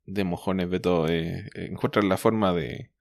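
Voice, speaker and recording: A man co-hosting a podcast, hoarse from a cold, chatting casually and often calling his partner by name. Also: sits low, steady tone, measured pace, darkish tone, no room sound, clean studio sound. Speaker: podcast co-host. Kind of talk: casual comics chat.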